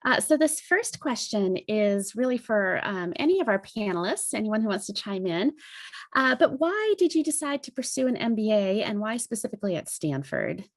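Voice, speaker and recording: A woman's voice: medium pitch, very animated, normal speed. A woman moderating an alumni panel over video, guiding the discussion in an organized, inviting way.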